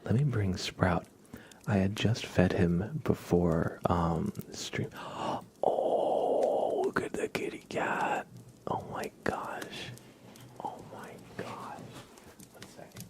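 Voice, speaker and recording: A man hosting an ASMR livestream, chatting softly and gently and often slipping into whispers. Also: sits low, animated, slow pace. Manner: gentle, hushed, drifting into whispers. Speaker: livestream host.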